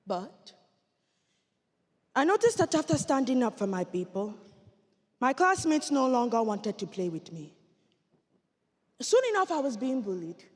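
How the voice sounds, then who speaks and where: Woman playing earnest female Rwandan survivor, high and very animated, theatrical stage monologue in a reverberant hall. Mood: earnest storytelling. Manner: deliberate, theatrical storytelling with dramatic pauses. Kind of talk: competitive dramatic monologue. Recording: echoey hall with long reverb.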